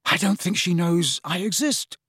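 A man voicing a lovesick teenage boy in an audiobook, breathy and strangled, moony and overwrought. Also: high voice, takes it slowly, no room sound, studio quality, voice pushed up, exaggerated voice. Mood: anguished. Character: lovesick teenage boy under a love potion, dreamy then furious. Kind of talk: audiobook character voice.

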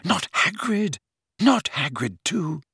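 A man voicing a desperate, enraged teenage boy wizard, yelling frantically in an audiobook. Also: high voice, big pitch swings, slow, creaky, husky, dry recording, clean studio sound, pitched above the performer's own voice, heavily acted. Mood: anguished.

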